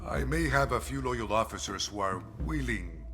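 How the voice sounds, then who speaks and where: An actor playing a principled Bolivian general in a game cutscene, formal and grave, regretful but resolute. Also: male voice, medium pitch, lively intonation, normal speed, music in the background, lightly acted. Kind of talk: video game cutscene acting.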